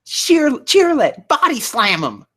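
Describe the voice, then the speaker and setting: A man voicing a brash, bickering young trainer in a fan fiction reading, loud and indignant. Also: very high-pitched, huge pitch swings, takes it slowly, no echo, studio quality, pitched above the performer's own voice, full character voice. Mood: commanding, excited.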